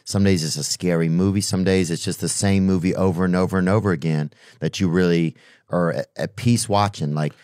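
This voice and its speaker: A man hosting a podcast in casual chat, laid-back and slangy, tossing in jokes. His voice sits fairly low, recorded dry and close. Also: steady tone, fairly quick, darkish tone, no echo. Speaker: podcast host.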